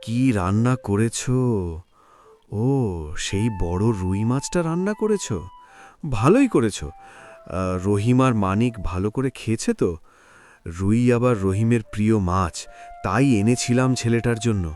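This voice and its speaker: A man's voice: lowish voice, animated, a little slow, long pauses, a little mellow, slight background noise. A man voicing a middle-aged village father in a cartoon story, plain-spoken and caring toward his sons. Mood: caring, matter-of-fact.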